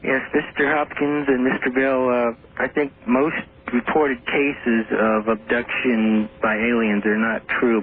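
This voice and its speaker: A man calling in to a radio show, reading out a statement in a steady, deliberate way. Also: on the low side. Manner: flat, steady, deliberate delivery. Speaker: caller. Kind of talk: phone-in call, reads a prepared statement. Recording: tinny phone line on an old radio broadcast.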